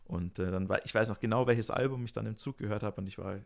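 A young man co-hosting a casual podcast, relaxed and easygoing, with frequent fillers. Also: fairly low pitch, fast, vocal fry. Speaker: podcast co-host, jazz musician. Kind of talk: casual chat.

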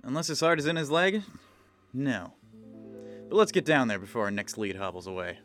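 A man playing a brooding kobold detective in a noir audio drama, wry and terse, with dramatic pauses. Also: mid-range voice, expressive, music in the background, natural voice. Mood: dry. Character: brooding male kobold detective, hard-boiled noir sleuth.

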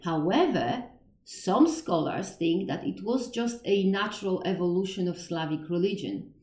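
A woman's voice: on the low side, expressive, a little slow, darkish tone. Woman, Polish-accented English, self-deprecating casual explainer; echoey room, distant mic. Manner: thinking aloud, self-deprecating, frequent laughs and restarts. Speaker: educator on Slavic history. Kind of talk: informal explanatory talk.

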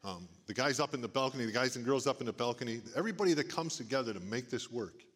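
A middle-aged man with a big booming voice, speaking to a church congregation in a folksy preaching manner. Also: lively intonation, fast, fairly bright tone. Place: church sanctuary. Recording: long reverb of a church hall. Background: church hall.

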